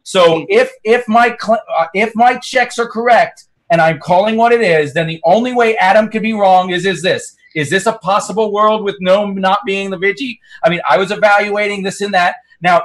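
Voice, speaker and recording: A man's voice: high voice, fairly bright tone. A man explaining his reasoning as a player in a social deduction game, hesitant and searching for words. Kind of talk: game discussion.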